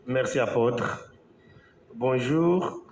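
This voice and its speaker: A man from Congo, an engineer, giving a slow, halting talk to an audience, with pauses and restarts. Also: mid-range voice. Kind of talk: speech to an audience. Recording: echoey hall, faint background noise.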